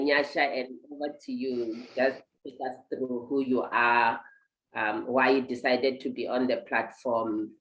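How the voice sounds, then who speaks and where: Woman, interview host, very low voice, rambling and encouraging, echoey room. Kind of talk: online interview.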